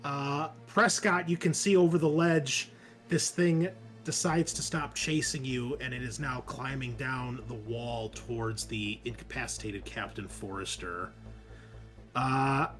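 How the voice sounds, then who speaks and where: A man's voice: mid-range voice, fairly bright tone. Man running a tabletop game over music. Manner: informal, swearing, explains rules in asides. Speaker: game master. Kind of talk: narrating a tabletop roleplaying game online. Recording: music playing behind the voice. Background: background music.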